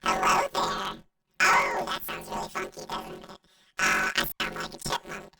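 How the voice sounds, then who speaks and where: A squeaky, artificial little chipmunk, acted by a man through an octave-up pitch shifter. The voice is sharp and creaky, with a very dry sound. It talks casually, like someone showing off a gadget. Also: monotone, a little slow, dry recording, exaggerated voice, poor audio. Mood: casual, amused. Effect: live pitch shifter, one octave up. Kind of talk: casual tech demonstration.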